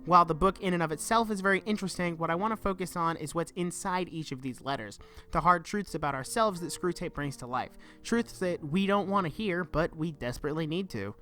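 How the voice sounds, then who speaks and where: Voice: medium pitch, a little fast, pushed and bright. A young adult giving an earnest, reflective scripted narration for a video essay. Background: background music.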